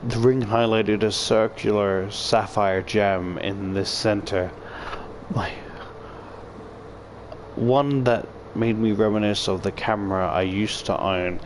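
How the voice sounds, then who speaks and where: Low-voiced man reading aloud, noisy recording. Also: steady tone, slow. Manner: joking, swears. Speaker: video creator reading a game aloud. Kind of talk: visual novel read-aloud with joking commentary.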